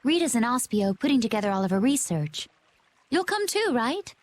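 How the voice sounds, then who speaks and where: An actress playing a calm, fearless young woman in a video game, composed and gently teasing. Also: a little high, moderate pace. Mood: playful. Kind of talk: video game cutscene acting.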